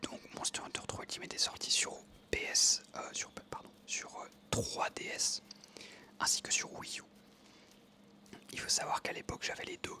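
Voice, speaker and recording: A young adult ASMR creator, telling a story in a soft unvoiced whisper that meanders with many fillers. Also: moderate pace.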